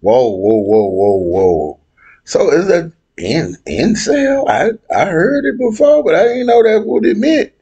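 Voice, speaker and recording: A young man argues his side in a heated panel debate, blunt and full of slang. He talks slowly and hammers his points by repeating them. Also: high voice, very animated, warm tone, husky, lo-fi sound.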